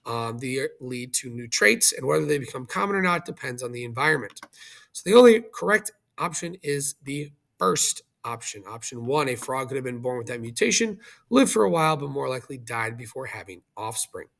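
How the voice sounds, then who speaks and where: A male teacher narrates a video lesson in a mid-pitched voice with plenty of gravelly fry. He explains step by step in an instructional way, putting questions to the viewer to check understanding. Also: expressive, a little fast, a bit bright.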